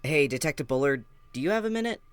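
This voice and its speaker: A man's voice: a little high, animated, quick pace, clean tone, no echo, natural voice. An actor playing a male police officer in an audio drama, speaking to a colleague in a hesitant, apologetic manner. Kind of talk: naturalistic audio drama acting.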